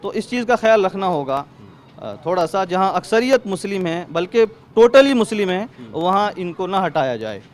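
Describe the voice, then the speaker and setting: Male community spokesman, high voice, western Uttar Pradesh accent, emphatic and explanatory, recorded outdoors with slight noise. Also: big pitch swings, normal speed. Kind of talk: explanatory street interview answer. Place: outdoors in a residential lane. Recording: faint outdoor street noise.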